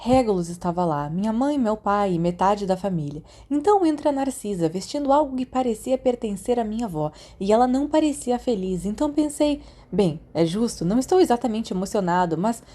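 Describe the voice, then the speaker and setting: A Brazilian woman reading fan fiction letters aloud as an audiobook narrator, in an expressive, conversational way. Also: medium pitch, a little fast, few pauses.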